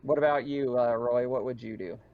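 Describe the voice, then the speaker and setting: A man's voice: mid-range voice, fairly even intonation, normal speed. A man acting as game master, talking casually as he runs a tabletop roleplaying game. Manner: casual, conversational. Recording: dull, lo-fi mic with faint noise.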